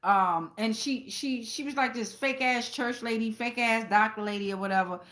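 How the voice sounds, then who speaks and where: Bright, high-voiced woman reading tarot. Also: moderate pace. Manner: blunt, heavy casual swearing; conversational, addresses viewers directly with frequent check-ins. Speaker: tarot reader and channel host. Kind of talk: casual tarot reading with personal anecdotes.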